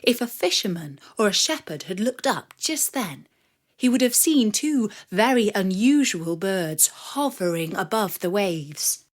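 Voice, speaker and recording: Expressive woman storyteller, slow and lively. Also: medium pitch. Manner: expressive, dramatic delivery. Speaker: storyteller and host of a children's story podcast. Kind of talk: scripted storytelling narration for children.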